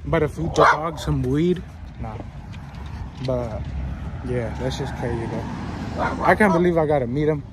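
A young man vlogs on his phone at a busy outdoor event. He talks in a laid-back, slangy, swear-filled way. Loud street and traffic noise runs under his voice the whole time. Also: a little high, measured pace, pauses often. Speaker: vlogger. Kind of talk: casual vlog chat.

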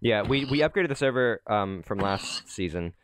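A man's voice: fairly low pitch, lively intonation, moderate pace, slight background noise. A young man hosting a gaming channel, chatting casually and rambling while he plays with friends, often laughing. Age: young adult. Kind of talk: casual gameplay commentary.

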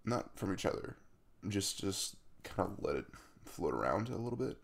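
A man acts out a caring young man in an intimate ASMR roleplay, talking to his crush. His low voice crackles with fry and swings widely in pitch. He is casual and hesitant, pausing as he reassures. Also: sing-song, exaggerated voice. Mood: tender, earnest. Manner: hesitant, reassuring, with soft chuckles and trailing pauses.

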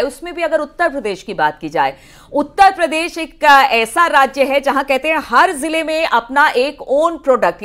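A female news anchor presenting live and questioning a reporter in a steady, formal way. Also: sits high.

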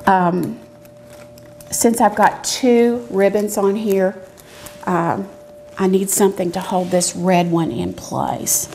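A woman's voice: mid-range voice, slow pace, dark tone. Folksy woman, noisy background. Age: middle-aged. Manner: folksy, chatty step-by-step explaining. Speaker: cooking show host, extension educator. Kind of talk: casual craft and cooking demonstration. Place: medium-sized indoor demonstration kitchen. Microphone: lapel mic. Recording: clear background noise with clicks.

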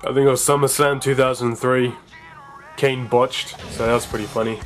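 A man's voice: medium pitch, moderate pace, faint music behind. A young man with a slightly sore voice commenting casually on a wrestling video game and reading its dialogue aloud. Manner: chatty, excitable, reads game dialogue aloud. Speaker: let's play gamer.

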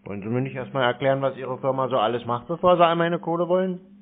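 Male prank-call baiter from Germany, curt deadpan delivery, very dry sound with a telephone band. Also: medium pitch, quick pace, dry recording, narrow, tinny audio. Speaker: prank call recipient baiting scammers. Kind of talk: phone prank conversation.

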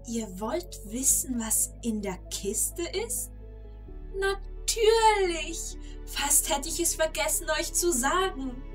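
A woman playing an excitable storyteller in a children's audio play, involved in the tale and speaking straight to listeners. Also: a little high. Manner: addresses the listeners, gets caught up in events. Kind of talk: dramatic scripted children's storytelling.

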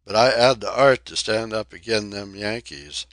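A man's voice: on the low side, moderate pace, fairly bright tone, dry recording, natural voice. A man reading an audiobook voices a ragged, impudent sailor with a Cockney accent, whining and indignant, with dropped aitches.

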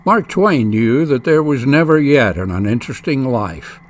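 A man reading a scripted promotional voice-over in a measured, inviting way. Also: medium pitch, animated, a little hiss or hum. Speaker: announcer.

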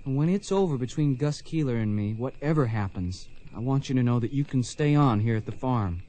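A man's voice: medium pitch, expressive, brisk, mellow, natural voice. An actor playing an eager, determined young farm boy in a western radio drama, earnest and deferential.

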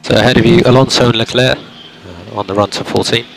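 A man working as a race engineer, giving calm, clipped instructions to a driver over team radio. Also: medium pitch, brisk, creaky. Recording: noisy team radio, car engine sound.

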